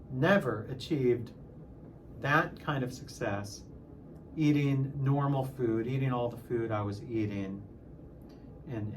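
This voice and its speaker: A man of sixty talking casually in a personal vlog, halting at times and repeating phrases for emphasis. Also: mid-range voice, slight background noise. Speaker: music teacher and channel host.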